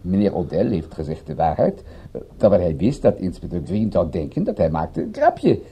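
An actor playing a courteous French police inspector in a radio drama, with a French accent and hesitant, formal, halting phrasing. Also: male voice, sits low, huge pitch swings, mellow, slightly rough, full character voice. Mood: dawning amusement. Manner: hesitant, formal, searching for words.

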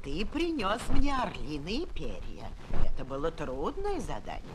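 Slow, swooping, wise old aunt hare. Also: female voice, low-pitched, huge pitch swings, heavily acted. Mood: wise, approving. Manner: deliberate, teasing, knowing. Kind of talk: children's audio drama acting. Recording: noisy 1970s flexi-disc record.

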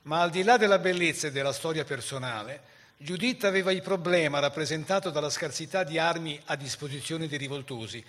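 Male narrator, forceful and slightly high, for dramatised historical radio storytelling. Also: fairly quick.

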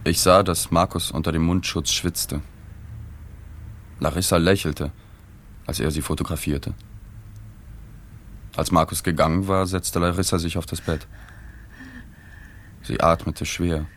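An actor playing a sober journalist who narrates a radio drama in a matter-of-fact, observant way. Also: male voice, low voice, steady tone, unhurried, long pauses, a bit bright, exaggerated voice. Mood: sombre, restrained. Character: sober male journalist narrating his reporting.

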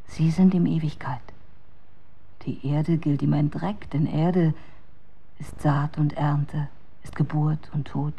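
Husky, whispering outcast woman. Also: sits low, fairly even intonation, full character voice. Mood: quietly bitter. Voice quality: husky, breathy half-whisper. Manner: slow, hushed, archaic poetic speech. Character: outcast woman of ill repute, bitter and tender. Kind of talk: German radio drama acting.

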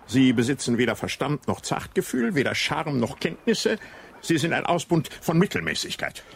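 A wry, gravelly inspector. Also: male voice, medium pitch, sing-song, moderate pace, slight background noise. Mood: sardonic. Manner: wry understatement, mock-casual. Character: dry, ironic British police inspector. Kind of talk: radio drama acting.

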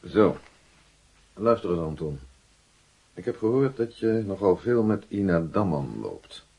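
An actor playing a stern, moralising schoolmaster in a radio play, grave and patronising. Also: male voice, sits low, sing-song, measured pace, dark tone, breathy edge, heavily acted.

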